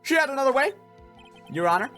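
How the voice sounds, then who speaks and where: A man's voice: extremely high pitch, very animated, fast, pushed and bright, faint music behind, voice pushed up, heavily acted. A man voicing an earnest, insistent young defense lawyer in a video game, with an emphatic delivery. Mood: accusing, emphatic. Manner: emphatic, declaratory.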